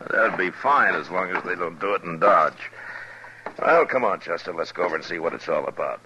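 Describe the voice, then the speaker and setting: An actor playing a steady, wry, authoritative marshal in an old-time radio western. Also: male voice, sits low, moderate pace, background noise. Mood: amused, easygoing. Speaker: radio drama lead actor. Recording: old radio drama recording.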